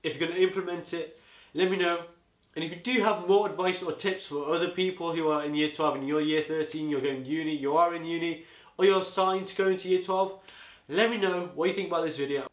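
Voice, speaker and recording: An eighteen-year-old man giving rambling, casual advice to camera from a living-room sofa, his voice going a bit hoarse. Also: fairly high pitch, steady tone, brisk, reverberant. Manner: rambling, chatty, peppered with "you know". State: voice getting hoarse. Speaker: student vlogger. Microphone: camera on a tripod across the room.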